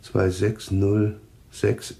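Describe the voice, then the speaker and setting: An actor playing a composed, cryptic man with eerie visions of death in a German radio thriller, speaking deliberately. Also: on the low side, little pitch movement, vocal fry, dark tone, full character voice. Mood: horrified trance. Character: calm, eerily taunting man claiming visions of deaths.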